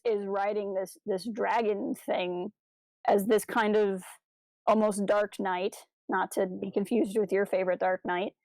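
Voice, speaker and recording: A woman's voice: mid-range voice, darkish tone, no echo, rough recording. A woman co-hosting a podcast, talking through ideas in a casual, discursive way. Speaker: podcast co-host.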